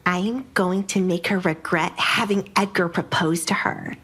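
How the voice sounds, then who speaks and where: A woman's voice: fairly low pitch, fairly even intonation, normal speed, a bit bright, husky. Woman acting a spiteful, haughty young noblewoman. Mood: bitter, scheming.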